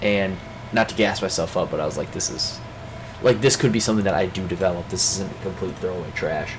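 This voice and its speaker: Stuffy young guy rambling on about his day, kinda low voice, lots of likes and restarts, ac noise humming behind him. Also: fast. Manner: rambling, many fillers and restarts. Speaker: vlogger. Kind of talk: casual off-the-cuff daily check-in.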